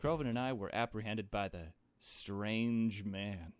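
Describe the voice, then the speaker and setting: A man's voice: lowish voice, unhurried. A man reading visual novel narration in a dramatic storytelling style. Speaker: visual novel voice-over reader. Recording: music under the voice. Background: game music.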